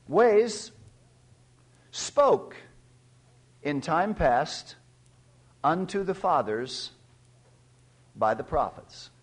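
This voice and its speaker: Middle-aged man preaching a sermon. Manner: reads scripture aloud and explains old words as he goes; pitch rises sharply and louder when stressing verses. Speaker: pastor.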